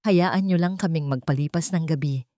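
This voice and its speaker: A woman's voice: sits low, fairly quick, warm tone, no echo, studio quality, natural voice. A woman voicing a desperate young mother who pleads, in a radio drama. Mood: pleading.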